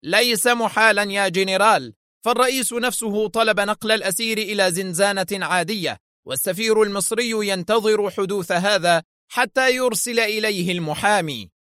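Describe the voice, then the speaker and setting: A man's voice: high voice, fairly quick, no echo, clean studio sound, pitched above the performer's own voice. A man voicing a stern, angry male agency director in a story, tense and agitated. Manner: sharp, agitated, protesting. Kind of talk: dramatised audio story dialogue.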